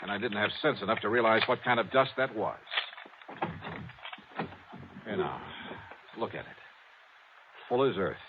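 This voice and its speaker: An American man playing a shrewd, wry, hardboiled insurance investigator in an old radio drama, brisk, clipped and confident. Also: on the low side, lively intonation. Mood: triumphant, accusing. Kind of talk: old-time radio detective drama acting. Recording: dull, noisy vintage radio recording.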